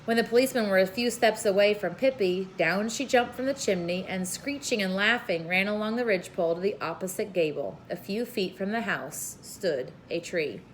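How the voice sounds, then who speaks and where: A woman reads aloud from a children's book in an informal storytelling style. Her voice sits at an average pitch. A faint layer of noise runs behind the reading. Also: normal speed, hardly any pauses, low-quality recording. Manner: informal, with brief asides to listeners.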